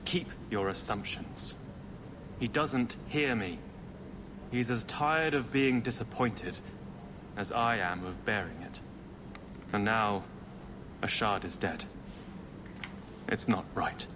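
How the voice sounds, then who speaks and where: A man's voice: lowish voice, slow pace, long pauses. An actor playing an idealistic, defiant young nobleman in a fantasy video game, with earnest, formal phrasing. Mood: bitter, weary. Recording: loud ambient noise behind.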